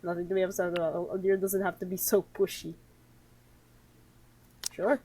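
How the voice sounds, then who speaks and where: A woman game streamer giving playthrough commentary and reading game text aloud, with expressive, sarcastic reactions. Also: on the low side, moderate pace, slight background noise, rough recording.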